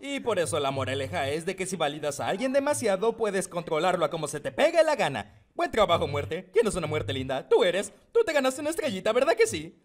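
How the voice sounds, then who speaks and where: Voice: mid-range voice, huge pitch swings, brisk, few pauses. A performer voicing a nervous, boastful young cowboy in a Latin American Spanish cartoon dub, jittery and bluffing. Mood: cocky. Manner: jittery, stammering, cocky bluffing. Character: nervous, boastful, fast-talking young cowboy, hapless fibber. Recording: music behind the voice.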